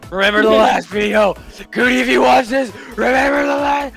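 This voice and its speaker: A man giving casual, excitable reaction commentary as a host, often bursting into exclamations. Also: very high voice, fairly quick, vocal fry, faint music behind.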